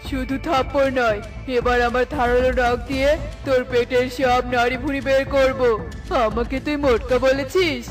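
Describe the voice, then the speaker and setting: Bullying male eagle for kids' cartoon, female performer: high-pitched, indignant bluster over music. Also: steady tone, moderate pace, lightly acted. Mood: furious. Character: big hot-tempered male eagle, bullying but cowardly. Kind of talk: children's cartoon voice acting.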